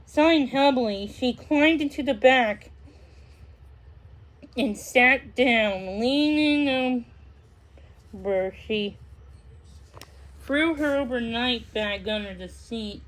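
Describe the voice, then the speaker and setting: Woman narrator, children's read-aloud, high pitch, slow, halting, repeats words. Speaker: story narrator.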